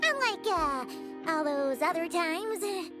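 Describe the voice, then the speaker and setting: A woman voicing a tiny, excitable, boastful childlike fairy companion in a video game, squeaky and exclamatory. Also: normal speed. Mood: boastful, disappointed. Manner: exclamatory, speaks of herself in third person. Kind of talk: animated video game voice acting. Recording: music behind the voice.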